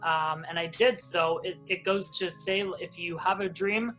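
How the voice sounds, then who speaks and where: Bright-voiced man, Hebrew-guest. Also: high voice, a little slow, soft background music, rough recording. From Israel. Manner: chatty, many restarts and ums. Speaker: airline captain, guest. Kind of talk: interview, personal storytelling.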